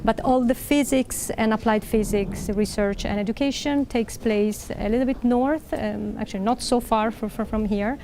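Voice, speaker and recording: Brief: middle-aged woman professor from Italy, mellow, creaky voice, hesitant delivery, faint room noise. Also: fairly high pitch, moderate pace, hardly any pauses. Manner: hesitant, many fillers and restarts. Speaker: professor and dean. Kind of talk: answering questions on a panel. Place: large library hall indoors.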